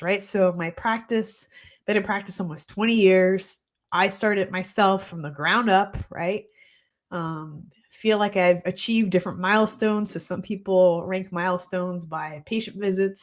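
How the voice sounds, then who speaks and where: A woman in her forties, a holistic practitioner, chatting on a live stream in a reflective way with a slightly congested voice. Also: lowish voice. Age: 40s. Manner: chatty and reflective, many fillers. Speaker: holistic practitioner hosting a weekly live show. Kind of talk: casual live-stream talk, greeting viewers. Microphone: streaming mic.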